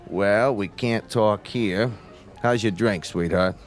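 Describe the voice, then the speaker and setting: An actor playing a jovial, falsely friendly crime boss in a noir radio drama, chummy and commanding. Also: male voice, fairly low pitch, slow pace, faint music behind. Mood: jovial, in control. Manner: chummy, commanding, smooth-talking.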